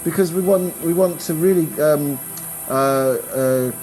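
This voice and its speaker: A man, a business coach, talking in a relaxed conversation, rambling and restarting his sentences, growing animated when he makes a point. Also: on the high side, measured pace, soft background music, poor audio. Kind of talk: casual conversation.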